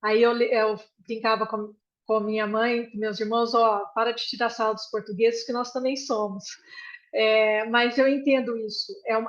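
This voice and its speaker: Brazilian woman sharing at a prayer meeting, a bit high, rambly and earnest, echoey muffled sound. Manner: earnest, rambling, with frequent restarts.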